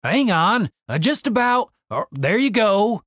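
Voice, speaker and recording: Audiobook role: sardonic big young guy voiced by the narrator, animated, grumbling, casually exasperated. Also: medium pitch, a little slow, dry recording, clean studio sound, pitched above the performer's own voice. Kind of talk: audiobook character dialogue.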